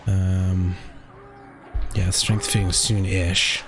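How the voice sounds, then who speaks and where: A man with a deep voice casually commentating his own online game session, thinking aloud and swearing now and then. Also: level tone, extremely slow. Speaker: gaming channel host. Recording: game music behind the voice.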